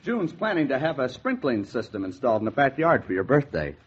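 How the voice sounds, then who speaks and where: An actor playing a blunt, insistent, nosy brother-in-law with an American accent in a 1940s radio drama. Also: male voice, mid-range voice, big pitch swings, brisk, husky. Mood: insistent, conspiratorial. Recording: dull, muffled vintage 1940s radio recording.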